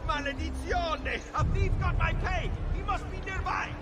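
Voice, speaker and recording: A man playing an agitated, excitable townsman in a video game, with an Italian accent. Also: very high-pitched, moderate pace. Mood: angry, alarmed. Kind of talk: video game crowd voice acting. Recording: game audio under loud music and crowd.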